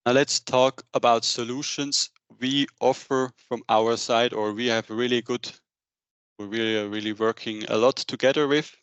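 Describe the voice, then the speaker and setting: A man's voice: mid-range voice, fairly even intonation, moderate pace, fairly bright tone, smooth, dry recording, highs cut off. Man presenting a webinar, dry close sound. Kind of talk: slide presentation, semi-scripted business webinar. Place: indoor room, on a video call. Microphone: headset.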